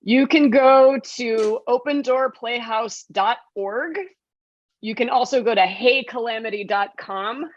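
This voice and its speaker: A woman talks casually as a show guest. Her pitch is a little high. The audio is fairly dry and somewhat dull. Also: measured pace, no echo. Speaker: interview guest. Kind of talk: casual chat.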